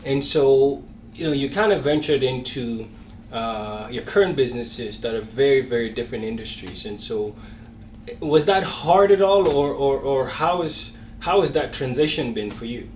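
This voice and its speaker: A man's voice: medium pitch, animated, moderate pace, a little mellow, a little hiss or hum. A man hosting an interview show, friendly and hesitant with frequent fillers. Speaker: show host. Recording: distant mic in an echoey room with faint music.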